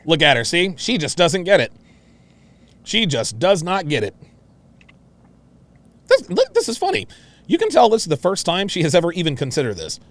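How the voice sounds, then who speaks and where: A man's voice: high voice, huge pitch swings, moderate pace, long pauses, no room sound. A man hosting a reaction show, commenting on a clip in a brash, mocking, profane and repetitive manner.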